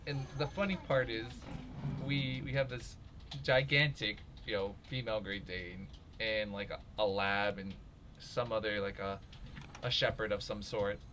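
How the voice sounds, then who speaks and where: A man's voice: lowish voice, flat delivery, measured pace. A young man telling stories casually during a game, rambling along with plenty of "like" and "you know". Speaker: gamer, co-host. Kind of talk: casual storytelling over gameplay. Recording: clear background noise.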